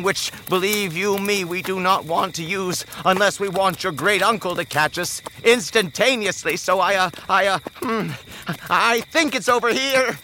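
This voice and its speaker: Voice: mid-range voice, pushed and bright, slight background noise, lightly acted, rough recording. An actor voicing a talking magical goat in an audio drama, a friendly guide with a bumbling, flustered manner. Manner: bumbling, hesitant, exclamatory.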